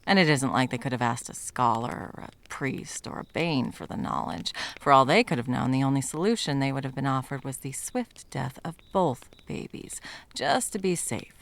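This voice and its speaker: A woman's voice: extremely low pitch, expressive, normal speed, a little hiss or hum. A woman playing a wry, menacing monster hunter who is sadly in love, in intimate ASMR roleplay with a theatrical, sardonic delivery that dips into whispers. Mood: grim, pointed.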